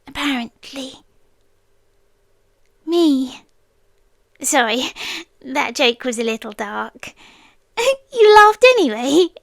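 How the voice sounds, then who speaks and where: A wistful forest being in the shape of a devoted girlfriend , acted by a woman, with a high British voice. She talks extremely slowly and intimately, often dropping into whispers. It is an ASMR roleplay, close and dry on the microphone. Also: no echo, exaggerated voice. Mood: wistful, hushed, then tender, probing. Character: wistful, devoted inhuman childhood friend from the forest, yandere girlfriend. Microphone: close, dry studio-style microphone.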